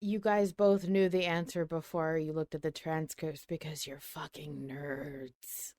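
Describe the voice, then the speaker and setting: A woman's voice: low voice, flat delivery, a little slow, darkish tone. A woman chatting casually and teasingly as a podcast panelist.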